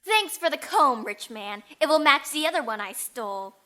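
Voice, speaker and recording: A woman's voice: sits high, animated, moderate pace, sharp tone. A woman playing a mocking, defiant female bandit in an audio drama, taunting and scornful, in an echoey space. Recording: echoey, long reverb.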